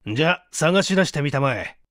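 A man's voice: a little high, lively intonation, moderate pace, a bit bright, no room sound, clean studio sound. A man voicing a smug, eager young man in a storybook reading, confident and teasing.

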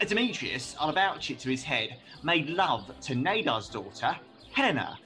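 A man's voice: medium pitch, big pitch swings, moderate pace, bright, forceful tone, faint music behind. An actor playing an ardent young male lover in a Shakespearean radio play, speaking verse with theatrical passion. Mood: indignant, defiant. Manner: impassioned, theatrical verse delivery.

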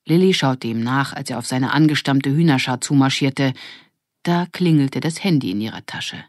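A woman's voice: extremely low pitch, moderate pace, darkish tone, no room sound, clean studio sound. A woman narrating a scripted children's story as an audiobook reader.

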